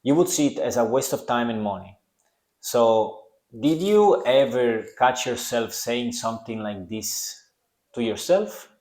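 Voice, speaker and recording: A man's voice: medium pitch, expressive, measured pace, a little mellow, roomy sound. A young man with a strong Italian accent, hosting a solo podcast in earnest, reflective talk aimed at the listener. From Italy. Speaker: podcast host.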